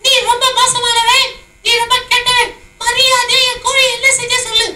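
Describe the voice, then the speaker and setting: A woman voicing a little girl puppet who has lost her hen and scolds the boy in a squeaky voice, in an echoey hall. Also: little pitch movement, normal speed. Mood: scolding. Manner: scolding, squeaky, sing-song. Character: girl Rosie, upset chicken owner. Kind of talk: children's puppet show. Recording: echoey hall, far mic, loud noise.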